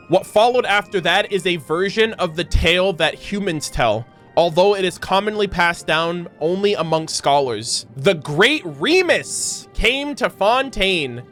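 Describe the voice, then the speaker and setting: A man's voice: fairly high pitch, a bit bright. A man hosting a gaming reaction, reading lore aloud and commenting on it casually.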